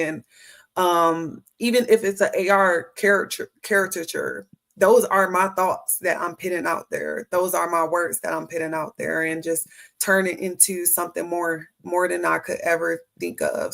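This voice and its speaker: Woman, gravelly voice, chatty, lots of ums and restarts, guest on a talk show. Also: on the low side, a bit bright. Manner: chatty, many fillers and restarts. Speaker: HR professional guest. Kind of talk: casual interview talk.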